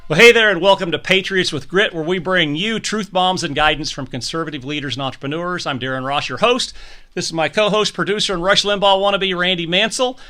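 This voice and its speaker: A man's voice: high voice, brisk, pushed and bright, faint music behind. A man hosting a podcast interview, enthusiastic and chatty, often restarting his sentences. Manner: enthusiastic, with frequent restarts and stumbles. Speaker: podcast host.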